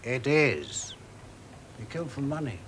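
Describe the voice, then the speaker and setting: A man's voice: medium pitch, big pitch swings, unhurried, noisy background. An actor playing a worthless, desperate man pleading his love in a radio drama. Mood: coaxing. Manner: pleading, swelling to passionate outbursts. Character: desperate, self-pitying middle-aged seducer.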